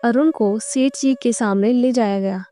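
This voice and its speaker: Female narrator for cartoon fables: warm dark tone, slightly high register, scripted storytelling, faint music bed. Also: measured pace.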